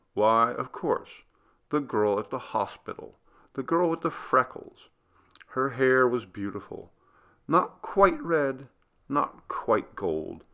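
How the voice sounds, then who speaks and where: A man narrating a literary ghost story in a measured, deliberate storytelling manner. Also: medium pitch, fairly bright tone. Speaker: audiobook reader.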